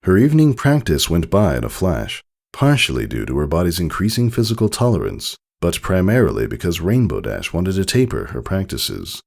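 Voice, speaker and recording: A man reading a story aloud in expressive scripted narration. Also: deep voice, moderate pace, creaky, hardly any pauses.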